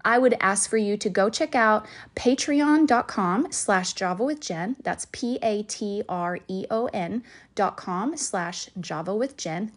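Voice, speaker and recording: A middle-aged woman hosting a faith-based podcast, warm and enthusiastic, affirming her guest as she talks. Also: medium pitch. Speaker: podcast host. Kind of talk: podcast interview and sponsor appeal.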